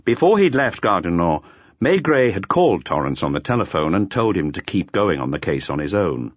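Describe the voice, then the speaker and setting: A man reads an audiobook as a scripted, dramatic narration. His voice is husky and lowish with very lively intonation, recorded dry and slightly dull. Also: brisk, dry recording.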